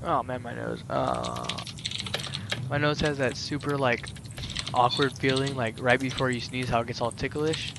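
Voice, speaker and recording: A man's voice: medium pitch, moderate pace, vocal fry, very noisy. A young man hosting a Minecraft let's play, chatting casually with friends over a voice call in a rambling, filler-heavy way. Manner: rambling, filler-heavy, animated gamer talk.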